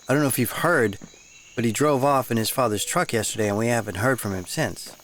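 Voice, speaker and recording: An actor playing a polite, inquisitive geologist asking questions. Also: male voice, mid-range voice, fairly quick, fairly bright tone, a little hiss or hum, natural voice. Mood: earnest.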